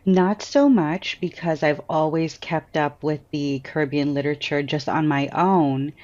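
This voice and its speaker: A woman with an American accent, an author answering interview questions in a lively storytelling way and laughing often. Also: deep voice, measured pace, muffled recording. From Trinidad. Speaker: author and guest.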